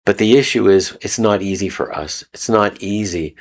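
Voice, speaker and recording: A man's voice: lowish voice, normal speed. A man from Canada giving a rambling, casual spoken teaching talk, repeating phrases and chuckling often. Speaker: video host. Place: lives in Mexico.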